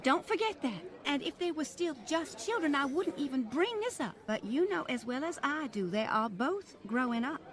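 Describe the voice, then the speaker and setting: A high, fluttery voice for a genteel grandmother of means, acted by a woman. She is prim and condescending, wrapped in affected politeness and easily flustered. The delivery is old-time radio drama. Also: lively intonation, moderate pace, hardly any pauses. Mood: anxious, disapproving. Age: older.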